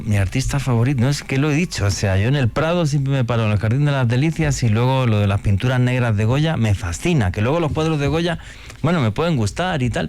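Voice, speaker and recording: Slangy, animated male radio host. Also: fairly low pitch. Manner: enthusiastic, slangy, animated storytelling. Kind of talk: casual radio chat.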